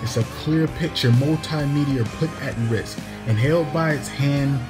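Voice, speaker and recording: A man performs a spoken-word monologue in a mid-pitched voice. His delivery is dramatic and deliberate, breaking lines into poetic phrases, with plucked guitar music clearly playing behind him.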